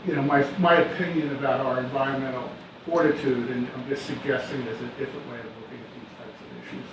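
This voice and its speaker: A man's voice: medium pitch, steady tone, fast talker. Man making a suggestion, echoey distant mic. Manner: persuasive, hedging with fillers. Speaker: resident commenting. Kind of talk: public comment. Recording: echoey room, distant, noisy.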